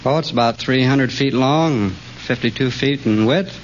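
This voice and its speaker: A man's voice: medium pitch, normal speed. A man answering as a quiz show contestant, hesitant and dryly literal, on an old radio recording. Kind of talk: spontaneous interview answers. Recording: muffled noisy radio recording, live audience.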